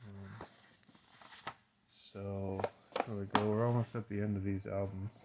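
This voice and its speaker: A man's voice: lowish voice, level tone, a little slow, very noisy. A man giving a casual, unscripted show-and-tell as a collector, hesitant and rambling, often trailing off mid-thought. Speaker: record collector and seller showing items.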